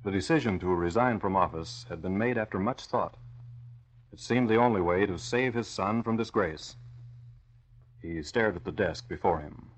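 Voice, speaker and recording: Dramatic low-voiced vintage radio announcer man. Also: moderate pace. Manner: dramatic, declamatory. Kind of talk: 1940s radio scripted narration. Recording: muffled old radio recording.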